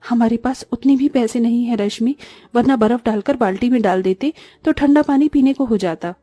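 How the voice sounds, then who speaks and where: A woman's voice: fairly high pitch, moderate pace, mellow, no room sound, soft background music, natural voice. A woman voicing a burdened but caring orphaned eldest sister, a young woman in a cartoon story, speaking wearily and reassuringly. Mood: weary, worried. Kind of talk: Hindi cartoon story voice acting.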